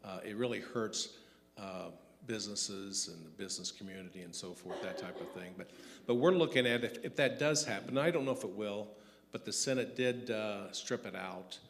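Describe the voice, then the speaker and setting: A man, a state legislator, giving a halting, hedging answer at a public forum. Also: on the low side. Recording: reverberant hall with faint background noise.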